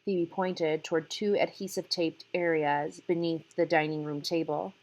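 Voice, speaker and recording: Slow-paced woman reading a story aloud. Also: sits low, steady tone, rough recording. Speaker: audiobook reader. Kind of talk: scripted story narration, read aloud.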